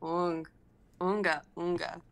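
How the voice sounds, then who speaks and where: A woman voicing a huge, excitable primitive cave person who grunts nonsense words in an amateur script reading. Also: lowish voice, slow. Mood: excited grunting.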